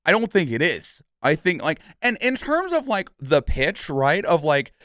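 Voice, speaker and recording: A man's voice: fairly high pitch, big pitch swings, a little slow, bright, forceful tone, dry recording, studio quality. A man giving casual, opinionated game commentary as a streamer, speaking emphatically and often checking in with short tag questions. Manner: emphatic, frequently tags statements with "okay?" and "right?"; swears casually.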